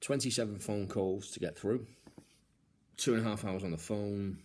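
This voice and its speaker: A man's voice: fairly low pitch, normal speed, darkish tone, slight background noise. A man chatting informally and hesitantly to viewers and on a phone call, with a matey, sweary, venting style. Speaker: comedian filming himself on a helpline call.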